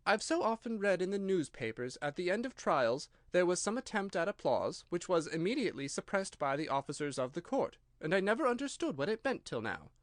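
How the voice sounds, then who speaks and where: A polite, curious young girl, as a male reader puts her on, speaking softly and meekly. Her voice is pitched well above the reader's own but kept quiet. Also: big pitch swings, brisk, few pauses, no echo, clean studio sound. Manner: meek, soft-spoken.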